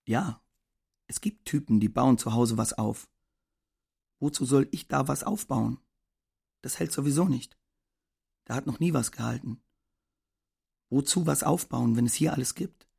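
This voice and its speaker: An actor playing a disillusioned, stubborn migrant man of about thirty, gravelly and offhand, in an audio drama monologue. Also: medium pitch, unhurried, long pauses, a little mellow, full character voice. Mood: resigned, stubborn. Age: around thirty. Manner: offhand, shrugging, conversational.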